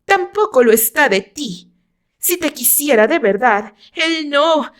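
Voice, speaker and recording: A proud, jealous woman acted by a female narrator in a romantic radio novel. Her voice is high and her delivery slow and indignant. She sounds haughty and forceful, a rival who will not yield. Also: animated. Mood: defensive.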